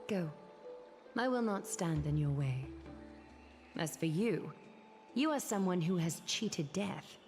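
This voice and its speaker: An actress playing a regal, knowing noblewoman in a fantasy video game, speaking in a formal, poised and deliberate way. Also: lowish voice, a little mellow. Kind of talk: video game story acting.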